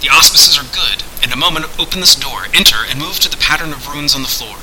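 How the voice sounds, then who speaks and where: A man's voice: a little high, animated, fast talker, pushed and bright, slight background noise, rough recording. A man voicing a commanding, courteous unseen sorcerer who speaks in formal, declamatory archaic phrases. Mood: instructing.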